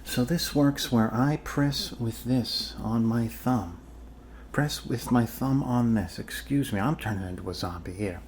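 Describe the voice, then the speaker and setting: Slow-talking man doing jokey doctor ASMR roleplay. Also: mid-range voice, darkish tone, slight background noise. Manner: jokey, rambling, reassuring. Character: goofy, rambling, reassuring doctor treating a zombie bite. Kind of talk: ASMR roleplay, improvised casual patter.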